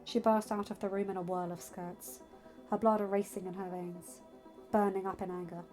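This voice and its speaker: A woman reading fiction aloud as an audiobook narrator, in an expressive storytelling style. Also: medium pitch, moderate pace. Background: music.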